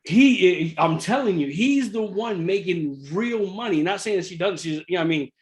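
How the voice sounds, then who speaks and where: A man in middle age with a slightly high voice. He tells a personal story in a loose, conversational way. He is animated and often repeats himself to drive a point home. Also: moderate pace. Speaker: real estate investor sharing his story.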